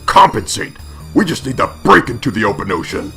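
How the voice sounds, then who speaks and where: A man's voice: mid-range voice, big pitch swings, a little fast, creaky, pushed and bright, soft background music, heavily acted. A man voicing a commanding, terse male superhero in a dramatic comic reading. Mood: urgent, commanding.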